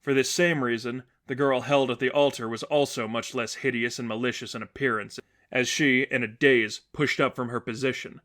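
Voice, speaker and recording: A male narrator reads dramatic fiction in a mid-range voice. His delivery is measured and earnest, keeping up suspense as he moves through scripted prose. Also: mid-range voice, fairly even intonation, fairly bright tone. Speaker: narrator reading fan fiction.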